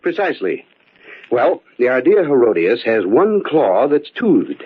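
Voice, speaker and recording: Through a tinny, phone-like old recording, a scholarly bird fancier. The man is husky and lively in pitch, pedantic, hesitant and full of earnest enthusiasm. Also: unhurried. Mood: eager, absorbed. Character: bookish, earnest male bird enthusiast, absorbed and eager. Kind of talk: old-time radio drama acting. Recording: tinny, narrow-band old radio recording.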